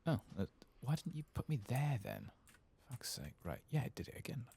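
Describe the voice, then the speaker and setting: A soft-spoken man doing ASMR-style game commentary, muttering casually to himself as he thinks aloud and sometimes dropping into a whisper. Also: medium pitch, sing-song, normal speed, creaky, slight background noise. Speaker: gaming video host.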